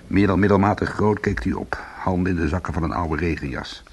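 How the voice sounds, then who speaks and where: Gravelly, low-voiced, bitter ex-convict. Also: male voice, fairly quick. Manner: sardonic, defiant. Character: bitter, defiant male ex-convict trying to go straight. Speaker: actor in a radio play. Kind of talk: Dutch radio drama dialogue and narration.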